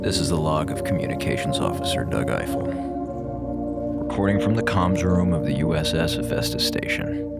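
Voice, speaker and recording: A man's voice: very deep voice, lively intonation, normal speed, creaky, loud music behind the voice, exaggerated voice. A man playing a sardonic, melodramatic communications officer on a space station in an audio drama, with a theatrical, mock-solemn delivery. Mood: mock-mournful. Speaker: communications officer recording a log. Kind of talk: audio drama acting, log narration.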